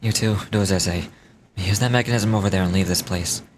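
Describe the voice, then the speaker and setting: An actor playing a grave, commanding and guarded man in a video game, speaking in a measured, serious way. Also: low-pitched, natural voice. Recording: noisy game audio with ambience behind.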